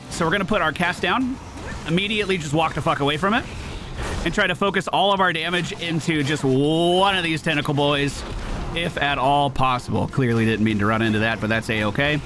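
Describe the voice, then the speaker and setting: Animated high-pitched man commentating a game. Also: background noise. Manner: animated, exclamatory, swears casually. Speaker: gaming video host. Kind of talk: casual live game commentary. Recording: game music behind.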